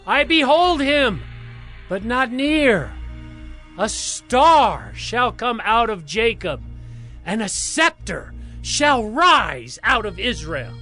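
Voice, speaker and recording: An actor playing an impassioned male prophet, declaiming solemn oracles in a drawn-out, fervent way for a scripture drama. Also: extremely high pitch, pushed and bright, exaggerated voice.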